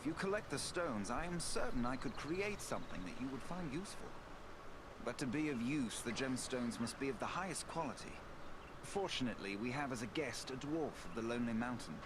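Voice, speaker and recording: An actor playing a learned, dignified and welcoming elven smith in a fantasy game, formal, measured and explanatory in delivery. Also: male voice, mid-range voice, expressive, background noise, close to an everyday voice. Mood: earnest, helpful. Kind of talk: fantasy video game voice acting.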